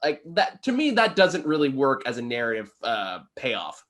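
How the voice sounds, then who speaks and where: A man's voice: mid-range voice, sing-song, moderate pace, fairly bright tone. A man casually discussing a film with a co-host as a reviewer, opinionated and swearing freely.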